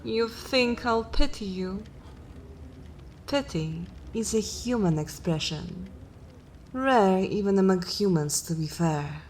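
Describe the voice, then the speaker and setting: A woman voicing a haughty, cruel, centuries-old vampire mistress in a dark fantasy ASMR roleplay, speaking in a formal, commanding and disdainful manner. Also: medium pitch, lively intonation, a little hiss or hum. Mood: cold disdain. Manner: imperious, slow, disdainful, formal wording.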